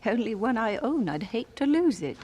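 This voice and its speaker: An actress playing a kindly, pious old widow with a genteel, fussy manner in a religious radio drama. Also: female voice, fairly high pitch, very animated, normal speed, a little mellow, breathy edge. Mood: politely grateful.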